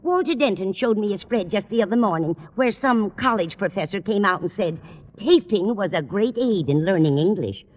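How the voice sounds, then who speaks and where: A woman's voice: mid-range voice, animated, moderate pace, close to an everyday voice. Echoing, kindly older landlady played by an actress. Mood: chatty, kindly. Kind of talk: 1940s-50s radio sitcom acting. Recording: reverberant, dull, noisy old radio recording.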